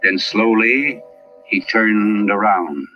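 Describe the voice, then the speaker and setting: A man's voice: on the low side, slow pace, faint music behind. A man narrating an eerie radio mystery in a dramatic, suspenseful manner, as an actor playing a brooding storyteller. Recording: dull, noisy old radio recording.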